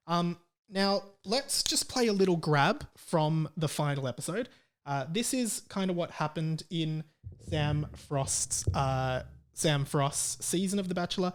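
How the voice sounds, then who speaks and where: An Australian man hosting a podcast solo in a casual, rambling, irreverent comedic style. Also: a little high.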